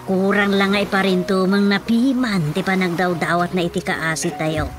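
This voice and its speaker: A woman's voice: medium pitch, steady tone, normal speed, close to an everyday voice. A woman playing a fretful, complaining mother of a grown daughter in a radio drama comedy, grumbling in an Ilocano accent. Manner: exasperated, grumbling. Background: music.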